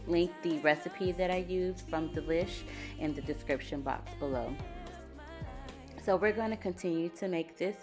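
A young American woman vlogging about her cooking in an informal, conversational way. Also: low-pitched. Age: young adult. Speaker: vlogger, host. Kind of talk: casual cooking vlog talk. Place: home kitchen, indoors.

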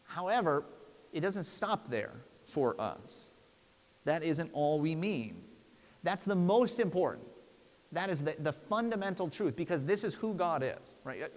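Guy in his 30s giving a sermon, kinda high voice, big echoey church, earnest and emphatic. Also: very animated, measured pace. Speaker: preacher. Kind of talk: sermon, expository preaching. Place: church sanctuary, at the pulpit. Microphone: lapel mic. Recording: long hall reverb.

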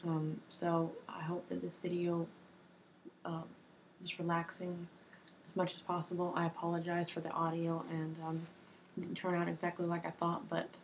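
Hoarse soft-spoken young woman reading for asmr, low and kinda flat, little hiss in the back. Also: rough recording. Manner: soft-spoken, slow and even, with ums and uhs. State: hoarse from a cold. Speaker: ASMR creator. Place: small home office indoors.

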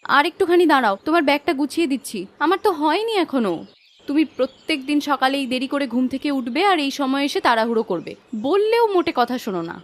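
A woman voicing a caring, gently scolding village housewife in a cartoon fairy tale, homely and coaxing. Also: high voice, lively intonation, quick pace. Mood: fussing, chiding.

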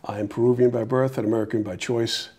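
Middle-aged guy from peru on a lapel mic, earnest, keeps restarting sentences, average pitch. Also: fairly even intonation, fast talker, dark tone. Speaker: mayoral candidate. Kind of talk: studio interview answers. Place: small TV studio.

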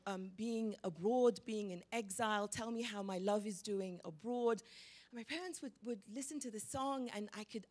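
A middle-aged woman from Egypt, telling a personal story on stage in a large hall, speaking expressively into a handheld microphone. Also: mid-range voice. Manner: animated, expressive, gestures as she talks. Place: large hall with a live audience.

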